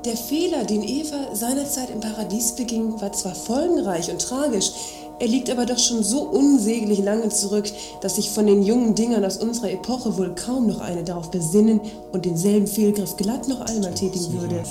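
A woman's voice: mid-range voice, normal speed, lightly acted. A woman playing a prim, lecturing intellectual in a satirical radio play, speaking in formal, ornate, wordy sentences. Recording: roomy echo under music.

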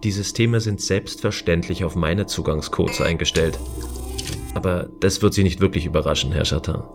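A man's voice: low-pitched, moderate pace, music in the background. A man playing a stern, controlled space-station guardian in a science-fiction audio drama, speaking in a dry, formal, authoritative way.

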